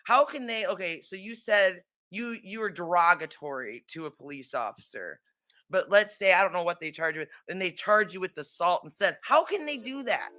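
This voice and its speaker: A woman's voice: fairly low pitch, lively intonation, normal speed, sharp tone. A woman interviewing in a casual, conversational way, chiming in agreeably.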